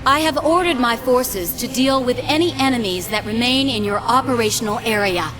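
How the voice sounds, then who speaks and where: An actress as a formal sci-fi game commander. Her voice is on the high side and authoritative. Clear music plays behind her orders. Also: moderate pace, natural voice. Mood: commanding. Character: formal female alliance military leader, commanding. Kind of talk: video game mission dialogue.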